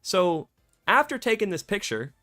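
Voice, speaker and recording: Excitable slangy man, slightly scratchy. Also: high-pitched, moderate pace, soft background music. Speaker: streamer. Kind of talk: casual live-stream chat. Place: warm home room at his computer.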